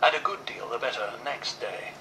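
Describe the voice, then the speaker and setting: A man's voice: mid-range voice, lively intonation, normal speed, sharp tone. A man reading a classic novel as a professional audiobook narrator, played back through a tablet. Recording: distant tablet playback, echoey with hiss.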